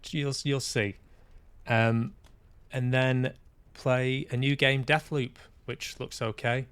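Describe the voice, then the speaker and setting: A man's voice: mid-range voice, level tone, takes it slowly, a bit bright, smooth, no echo. Rambling English man hosting a stream. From Manchester, England. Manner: rambling, self-deprecating, dry humour. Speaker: podcast host and streamer. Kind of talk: casual livestream chat.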